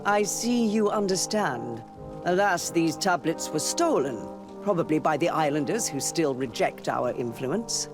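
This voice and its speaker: An actress playing a pious, persuasive high church leader in a video game, formal and solemn. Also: female voice, animated, unhurried, music in the background. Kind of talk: scripted video game dialogue.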